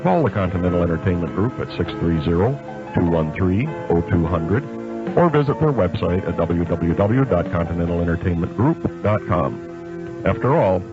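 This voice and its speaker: A man's voice: low voice, expressive, normal speed. A man narrating a scripted radio commercial in an announcer's style. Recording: dull lo-fi radio recording, loud music. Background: loud music bed.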